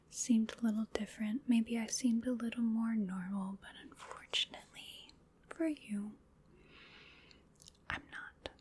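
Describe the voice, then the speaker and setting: A woman playing an ancient vampire in an ASMR roleplay, whispering breathily in a slow, genteel, soothing manner with quiet menace. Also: a little high, slightly rough, heavily acted. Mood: wistful, sinister. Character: ancient female vampire, sinister yet coaxing and polite.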